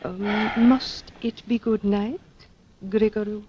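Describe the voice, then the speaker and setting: A woman's voice: medium pitch, steady tone, slow pace. An actress playing a lovelorn foreign queen in 1940s radio style, dramatic, tender and formal, on a muffled old recording. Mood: wistful, loving. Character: lovelorn, wistful foreign queen, devoted wife.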